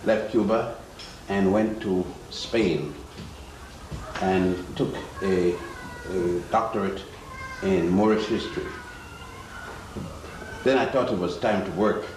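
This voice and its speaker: Older male lecturer, slow and halting, recorded far off in an echoey, noisy hall. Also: sits low. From Ethiopia. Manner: slow, halting, with many pauses and fillers. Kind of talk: public lecture.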